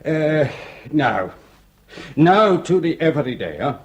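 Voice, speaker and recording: A charming French flirt. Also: male voice, on the high side, takes it slowly. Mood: flirtatious, wry. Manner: laughing, teasing, flattering. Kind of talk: 1960s radio drama acting.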